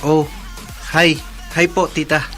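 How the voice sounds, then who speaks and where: An actor playing a friendly, mild-mannered bachelor in a radio drama, polite and slightly tentative, with a Filipino accent. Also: male voice, on the high side, level tone, slow pace, fairly bright tone, faint music behind. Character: courteous, eager bachelor architect, friendly and mild.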